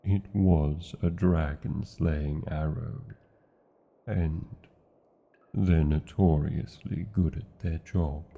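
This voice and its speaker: A British man playing a tender, brooding dragon lover in a romantic fantasy ASMR roleplay, speaking formally with old-fashioned endearments in a gravelly, husky voice. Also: rumbling low, steady tone, takes it slowly, dark tone, exaggerated voice. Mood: worried, confiding. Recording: muffled, narrow phone-like sound.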